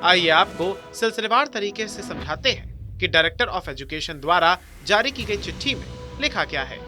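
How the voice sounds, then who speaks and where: A man's voice: high-pitched, expressive, measured pace, bright, forceful tone. A man reading a scripted news report as the narrator. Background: music bed.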